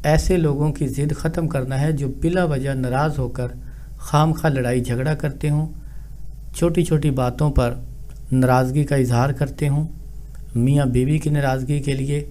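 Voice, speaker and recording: A man with a dark, mellow voice delivers formal spiritual instructions as a healer. His phrasing is measured and deliberate, at a middling pitch. There is faint noise behind the recording. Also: steady tone. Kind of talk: instructional monologue, formal religious address.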